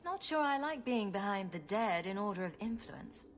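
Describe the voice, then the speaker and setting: A woman's voice: mid-range voice, lively intonation, normal speed, a little hiss or hum, lightly acted. A woman voicing a wry rogue adventurer in a video game, with a dry, teasing, sarcastic manner.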